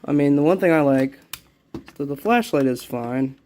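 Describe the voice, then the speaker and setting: A man giving a casual, unscripted show-and-tell as a vlogger, talking in a halting, rambling way and often repeating himself into a handheld phone. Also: on the high side, expressive, warm tone, a little hiss or hum. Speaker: vlogger showing a personal collection.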